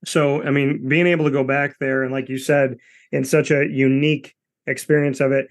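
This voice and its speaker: A man's voice: medium pitch, little pitch movement, moderate pace. A man hosting a sports talk show, asking a guest long, winding questions and restarting his sentences. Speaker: podcast host, interviewer.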